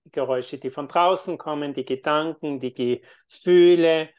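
A man who teaches meditation and yoga, answering interview questions in a calm, thoughtful way with frequent fillers. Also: on the high side, moderate pace, clear, resonant tone. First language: German.

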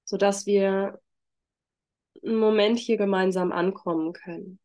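A woman with a congested voice from a heavy cold, speaking gently and informally as a yoga teacher leading a workshop. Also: medium pitch, steady tone, measured pace. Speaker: trauma-sensitive yoga teacher and facilitator. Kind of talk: workshop introduction and guided mindfulness.

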